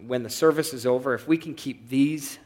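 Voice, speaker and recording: A man preaching a sermon and giving announcements to his congregation in a relaxed, conversational way with frequent filler pauses. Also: mid-range voice, big-room sound. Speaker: pastor. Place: church sanctuary.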